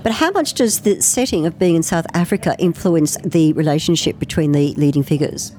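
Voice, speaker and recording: A woman's voice: sits low, a little fast, warm tone, slight background noise. A woman interviewing an author at a festival, asking questions in a conversational way with a few fillers.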